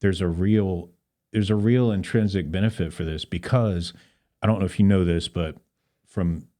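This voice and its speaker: Low-voiced man explaining in an interview. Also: moderate pace, warm tone. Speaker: podcast guest, Rotary club volunteer. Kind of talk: conversational interview.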